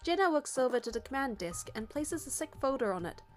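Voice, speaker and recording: A high-voiced woman narrates a game story in the first person. She reads from a script, with light music behind her. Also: sing-song, fast.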